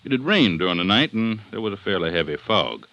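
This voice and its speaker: A man playing an assertive district attorney in an old-time radio detective drama, speaking with deliberate emphasis. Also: lowish voice, big pitch swings, sharp tone, dry recording, dull audio, full character voice. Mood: matter-of-fact. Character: assertive Southern district attorney building a murder case. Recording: vintage radio drama recording.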